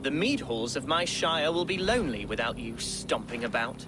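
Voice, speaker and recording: An actor playing an earnest young alderman in a game cutscene, speaking formally and warmly to an ally. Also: mid-range voice, very animated, moderate pace, background noise, lightly acted. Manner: formal, heartfelt, self-deprecating. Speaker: newly named alderman of a shire. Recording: game cutscene with loud music.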